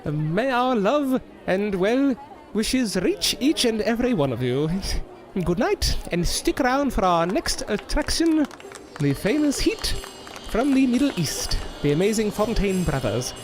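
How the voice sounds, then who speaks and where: A man's voice: high-pitched, big pitch swings, unhurried, soft background music. A man playing a bitter, menacing, washed-up stage entertainer in a theatrical style for an audio drama. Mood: showman flourish.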